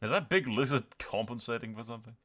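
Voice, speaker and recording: A man hosting a gaming video with excitable let's-play commentary, swearing freely and swinging between dramatic exclamations and dry understatement. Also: medium pitch, quick pace.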